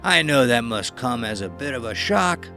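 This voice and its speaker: A man's voice: medium pitch, animated, vocal fry, sharp tone, voice pushed up. A man voicing a sinister, smug elderly man with a bandaged face, drawling mocking lines in an audiobook, with faint music behind. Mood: menacing. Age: older. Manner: mocking, drawn-out, condescending. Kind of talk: audiobook character voice.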